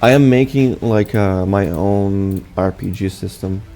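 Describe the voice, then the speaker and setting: A young adult man whose first language is Portuguese, speaking English with a Brazilian accent and giving a casual, halting channel update full of fillers and restarts. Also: low-pitched, dark tone, soft background music. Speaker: channel host.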